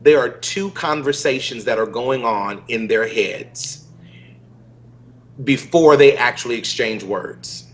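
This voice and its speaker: A middle-aged man co-hosting a political panel discussion, speaking in a measured, earnest and emphatic way. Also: medium pitch, a little hiss or hum. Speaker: co-host.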